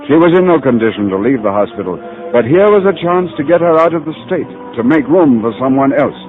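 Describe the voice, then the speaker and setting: A man's voice: medium pitch, lively intonation, a little fast, lightly acted. An actor playing a probing, reassuring psychiatrist who narrates his case in an old radio drama. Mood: grave narration. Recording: narrow vintage radio broadcast with music.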